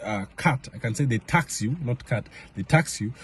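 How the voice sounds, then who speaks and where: A young man from Kenya talks casually to his camera, stopping often to restart his thoughts with plenty of fillers. His voice has a husky, slightly breathy texture at an average pitch. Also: lively intonation. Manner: halting, many fillers and restarts. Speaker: vlogger. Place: home in Madrid area.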